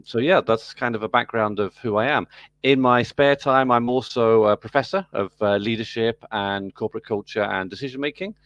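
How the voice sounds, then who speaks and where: British man, measured explanatory tone. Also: fairly low pitch, a bit bright. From United Kingdom. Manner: measured, explanatory, frequent fillers. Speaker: business school program director. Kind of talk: conversational interview answers. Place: video call.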